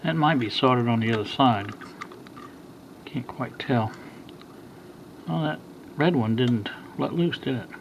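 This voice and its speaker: Older male hobbyist host, sixties, average pitch, unscripted and hesitant, amateur recording with noticeable background noise. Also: a little slow, long pauses, lo-fi sound. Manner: hesitant, rambling. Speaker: hobbyist electronics repair host. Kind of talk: casual, unscripted how-to talk. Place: kitchen at home. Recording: clear background noise, amateur home video.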